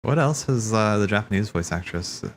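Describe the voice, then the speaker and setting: A man's voice: fairly low pitch, expressive, moderate pace, a little hiss or hum. A man hosting a livestream, talking in a casual, slangy, rambling way as he thinks aloud. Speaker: livestream host. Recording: background music.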